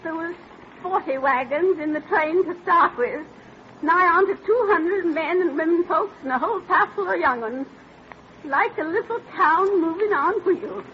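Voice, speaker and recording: A spirited pioneer granny in her eighties in a vintage radio play. Her voice is very high and her phrasing folksy and rustic. The old recording is muffled, with faint noise. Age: 80s. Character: spirited, chuckling 88-year-old pioneer woman. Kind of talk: 1940s radio acting.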